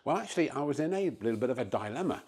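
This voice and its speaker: A man in his fifties hosting a DIY vlog from his garage, explaining things casually with frequent pauses on a lapel mic. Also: medium pitch, expressive, darkish tone, slightly rough. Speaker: host of a DIY car-building vlog. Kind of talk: casual instructional talk to camera. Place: home garage. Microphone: clip-on lapel mic.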